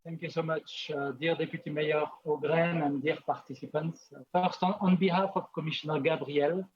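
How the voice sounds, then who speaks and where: Husky man giving a formal address. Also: a little high, steady tone, highs cut off. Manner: formal, measured, hesitant fillers. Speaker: official speaker. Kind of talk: formal prepared speech.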